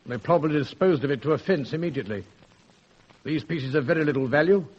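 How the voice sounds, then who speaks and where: An actor playing a shrewd, respectful police sergeant in a radio drama, measured and analytical. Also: male voice, a little high, slightly rough. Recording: dull old radio recording.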